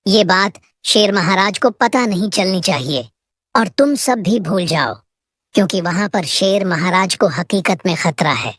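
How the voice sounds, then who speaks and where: A worried, loyal father deer in an animal cartoon, acted by a woman. He has a mid-pitched voice and an earnest, humble, emotional way of talking. The recording is dry. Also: lively intonation, a little slow, fairly bright tone, dry recording. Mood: anxious, resolute. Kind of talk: children's animal moral-story cartoon.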